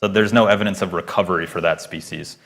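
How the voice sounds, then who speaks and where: A man's voice: low voice, steady tone, fast talker, gravelly, a bit bright. A man in his thirties giving an earnest, emphatic research presentation to a live audience, speaking into a microphone. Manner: earnest, emphatic lecturing with rising stresses. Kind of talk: conference talk with Q&A. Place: lecture hall before an audience.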